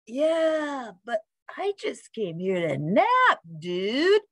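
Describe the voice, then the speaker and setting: Woman as a slangy, animated storybook dog dude. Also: fairly high pitch, drawn-out words, no echo, clean studio sound, pitched above the performer's own voice, exaggerated voice. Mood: sleepy, reluctant. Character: laid-back, nap-loving young male dog, slangy dude. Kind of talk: children's storybook character voice.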